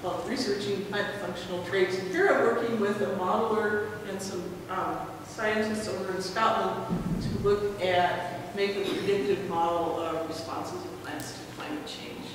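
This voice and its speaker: A woman presenting her research at a conference, in a relaxed, chatty way with frequent pauses. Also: medium pitch. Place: large lecture hall. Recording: echoey hall, mic far off, noisy.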